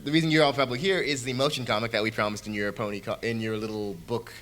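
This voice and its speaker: A man's voice: medium pitch, steady tone, fast, fairly bright tone. A man presenting casually at a live convention panel, jokey and often picking up where he left off. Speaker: panel presenter, audio drama creator.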